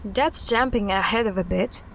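A woman playing an earnest, guarded expert recounting dark history in an audio drama styled as a recorded interview, with a measured, serious delivery. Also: medium pitch, noisy background, natural voice. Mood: grave, measured.

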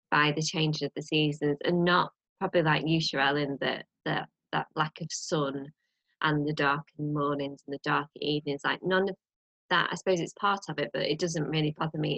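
A young British woman co-hosting a podcast in casual chat, slightly croaky with a cold, rambling with fillers. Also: sits low, a bit bright, no room sound, poor audio. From Britain. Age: young adult. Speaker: podcast co-host.

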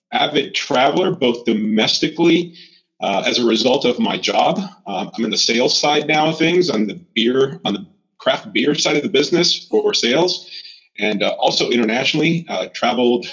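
A man from Miami talks as a guest on a travel podcast. He is laid back and fills his sentences with um and uh, at a middle pitch. The audio sounds a bit dull and muffled. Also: moderate pace. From Miami, Florida. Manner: relaxed, many fillers. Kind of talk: casual interview.

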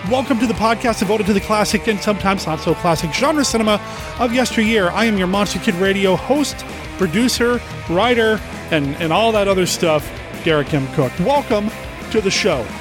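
A man's voice: sits high, moderate pace. Nasal male podcast host with a cold. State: head cold. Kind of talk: enthusiastic podcast intro. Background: music.

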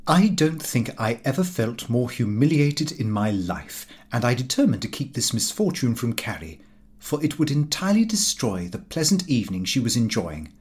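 A man narrating a comic diary novel as an audiobook, in a formal, measured and precise storytelling manner. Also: mid-range voice, big pitch swings, darkish tone.

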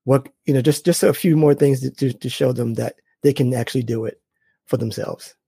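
An enthusiastic male podcast host talks in a casual, upbeat way. He has a gravelly voice with a dark, mellow tone. The recording is dry and close. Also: mid-range voice, brisk, no echo. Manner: upbeat, enthusiastic, repeats praise. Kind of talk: casual interview chat.

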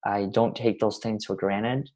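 Man, 20s, from Russia, podcast guest; low, level delivery, earnest and unhurried. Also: steady tone, a little mellow. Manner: earnest, measured, speaks in long winding sentences. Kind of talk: reflective interview talk.

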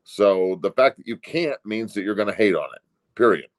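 A man's voice: fairly low pitch, lively intonation, normal speed. A man in his forties with an American accent, co-hosting a sports show with emphatic, profanity-laced casual commentary. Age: 40s. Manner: emphatic, blunt, incredulous ranting.

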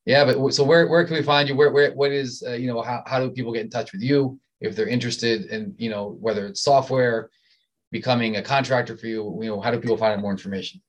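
Fast-talking male podcast co-host. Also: medium pitch, steady tone, muffled recording. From Southern Maryland, USA. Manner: chatty, repeats words when starting sentences. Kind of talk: casual interview chat.

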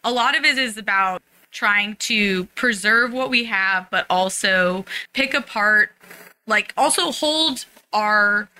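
A woman from San Francisco speaking as an interview guest. Her voice is very bright and forceful, and she talks in a thoughtful, conversational way about her work. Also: mid-range voice, takes it slowly, clear, resonant tone, rough recording. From San Francisco, USA. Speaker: theater performer and interview guest. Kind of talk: thoughtful casual interview talk.

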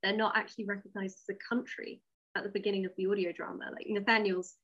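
A woman speaking as an interview guest, a writer telling stories in a casual, wry and animated way. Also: mid-range voice, fast, dull audio. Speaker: guest, audio drama writer.

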